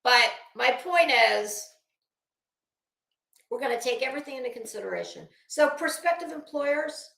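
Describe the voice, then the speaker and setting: High-pitched, blunt woman in an echoey room. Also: animated, normal speed, gravelly, bright, forceful tone. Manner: blunt, salty, swearing. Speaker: livestream host. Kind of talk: casual livestream commentary.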